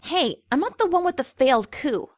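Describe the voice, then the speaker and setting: Woman voicing a high-voiced wry cartoon pony. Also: big pitch swings, moderate pace, dry recording, clean studio sound. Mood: teasing. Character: sensible, wry young female pony, gently scolding. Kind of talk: cartoon fan fiction voice acting.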